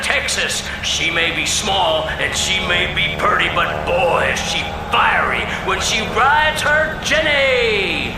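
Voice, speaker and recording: An excited flying circus showman with a Southern drawl. His pitch is extremely high and he announces with big barker flourish. He sounds set back in a roomy space, with music clearly behind him. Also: distant mic, full character voice. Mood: rousing showmanship. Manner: booming, showy announcer delivery. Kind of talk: 1920s barker-style announcing in a radio play.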